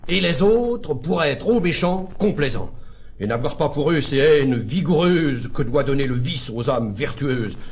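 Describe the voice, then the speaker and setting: An actor playing an indignant, uncompromising hater of humankind, declaiming classical French verse with vehement emphasis. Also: male voice, mid-range voice, slight background noise, lightly acted. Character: indignant, uncompromising man who hates all humankind.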